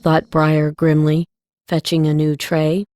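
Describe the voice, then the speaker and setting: A woman's voice: low voice, monotone, slow, a little mellow, no echo, clean studio sound. A woman narrating a scripted story as an audiobook reader.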